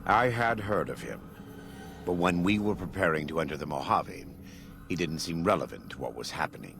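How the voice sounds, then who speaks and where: An actor playing a devout, scarred former warlord in a video game, speaking in a solemn, measured, preacher-like way with a gravelly voice. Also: male voice, expressive, music in the background. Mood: grave, reflective. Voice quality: very deep, gravelly. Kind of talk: video game character dialogue.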